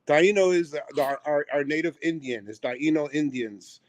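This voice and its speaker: A man's voice: fairly high pitch, moderate pace. A middle-aged man from Puerto Rico, raised in New York, with a New York accent, talking earnestly over a remote call as a theater owner and chef, full of ums and restarts. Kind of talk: casual interview chat. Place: theater seat on a live call.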